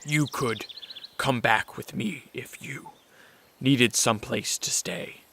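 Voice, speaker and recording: An actor playing a brooding, weary vampire nobleman in a radio drama, speaking with solemn intensity in a gravelly voice. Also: mid-range voice, animated, sharp tone, slight background noise, heavily acted. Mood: subdued, weary. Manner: slow, intense, solemn delivery.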